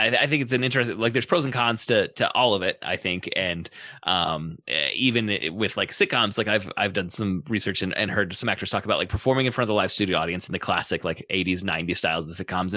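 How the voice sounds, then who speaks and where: A man's voice: on the low side, sharp tone, no room sound, clean studio sound. A man casually chatting as the interviewer on a podcast.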